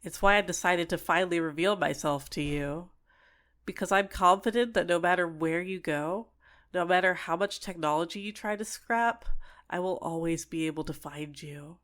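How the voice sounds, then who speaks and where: Woman voicing sentient yandere dating-sim girl, lowish pitch, possessive, coaxing, menacingly sweet, ASMR roleplay. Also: moderate pace, fairly bright tone, natural voice. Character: possessive, obsessive female dating-sim character, sentient program. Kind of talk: scripted ASMR roleplay monologue.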